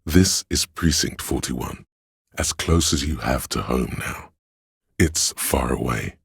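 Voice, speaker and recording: Very deep, gravelly male narrator. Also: animated, slow pace, no room sound, clean studio sound. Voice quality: gravelly, husky. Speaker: video game voice-over narrator. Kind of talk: scripted literary narration.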